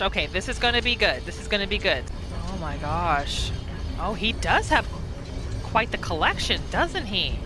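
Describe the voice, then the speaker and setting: Animated woman narrating a game roleplay. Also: mid-range voice. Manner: excited, conversational storytelling. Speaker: host of a gaming video series. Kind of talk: lively narrated roleplay let's play. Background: background music.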